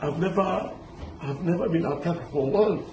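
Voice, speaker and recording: A middle-aged man from Lebanon telling his story in an animated, halting way, restarting often and mixing in pidgin words. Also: fairly high pitch, slight background noise. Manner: excited, halting, many restarts. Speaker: interviewee recounting a kidnapping. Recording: echoey with loud street ambience.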